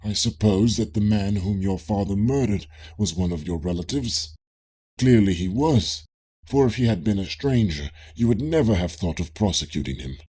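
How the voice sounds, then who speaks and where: A man reads as an inquisitive philosopher of ancient Greece in an audiobook dialogue. His voice is lowish, slightly dark and husky, and he questions in a formal, earnest, mock-humble way. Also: lively intonation, normal speed. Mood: astonished, probing.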